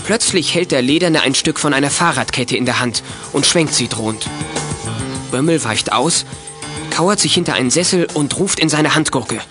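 A man's voice: a little high, lively intonation, normal speed, music in the background, close to an everyday voice. A man narrating a children's detective radio play with scripted, dramatic storytelling.

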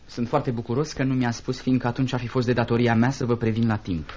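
A man's voice: medium pitch, little pitch movement, darkish tone, slight background noise, natural voice. A man playing a proud young teacher in a Romanian radio drama, righteous and defiant in his speech. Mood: sardonic. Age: young adult. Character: proud, indignant young male teacher defending a boy. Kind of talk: Romanian radio theatre acting. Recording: dull, band-limited radio drama recording.

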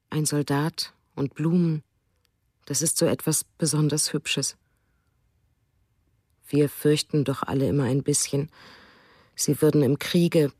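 A woman's voice: deep voice, darkish tone, no echo, clean studio sound. An actress reading the diary of a wistful German writer and mother for a radio drama.

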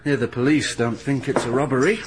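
An actor playing a cheerful, pushy newspaper reporter in a radio drama, chatty and breezy. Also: male voice, mid-range voice, fairly even intonation, a little fast, noisy background, close to an everyday voice. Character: brash cheerful young male newspaper reporter. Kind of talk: 1980s BBC radio drama acting.

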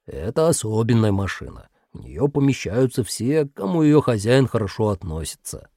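A man's voice: fairly low pitch, big pitch swings, moderate pace, mellow, no echo, clean studio sound. A man voicing a stern, strict zero figure who scolds in a children's story. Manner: stern, grumbling.

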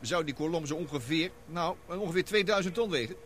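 An actor playing a confident, reassuring drilling expedition man in a Dutch radio drama, brisk and explanatory. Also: on the high side, lively intonation, fairly bright tone, slight background noise.

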